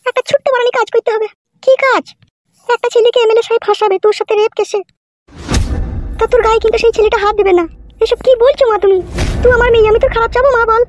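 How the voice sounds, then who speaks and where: Someone voicing a very high-pitched, quick-talking cartoon village woman. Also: fairly even intonation, no echo, highs cut off. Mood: coaxing. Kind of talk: Bengali comedy cartoon dialogue. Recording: music behind the voice.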